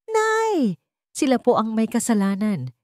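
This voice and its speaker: A woman's voice: a little high, wildly swooping pitch, measured pace, darkish tone, no room sound, studio quality, pitched above the performer's own voice. A woman playing a distressed young bride who speaks respectfully and earnestly to her mother, in a narrated love story. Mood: upset, pleading. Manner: respectful, pleading.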